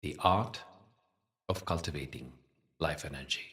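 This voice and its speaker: A man leading a guided meditation as the teacher, soothing and unhurried, with measured phrasing and long pauses. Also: a bass voice, fairly bright tone, distant mic.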